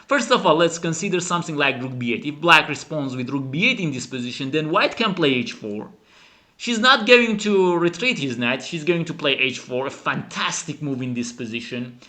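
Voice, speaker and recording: A man who is a non-native English speaker gives animated, emphatic chess commentary as a teacher, dramatizing the game. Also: fairly high pitch, normal speed. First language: not English. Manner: dramatic, emphatic, acts out the players' thoughts. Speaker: chess teacher and channel host.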